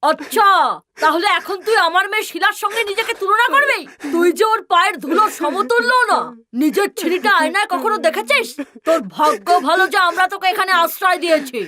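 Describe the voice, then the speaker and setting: A woman's voice: very high-pitched, animated, fast talker, bright, forceful tone, exaggerated voice. A woman voicing a spiteful, haughty cartoon woman who mocks and scolds her relative. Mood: scolding. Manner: mocking, scornful, scolding. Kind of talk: cartoon story dialogue.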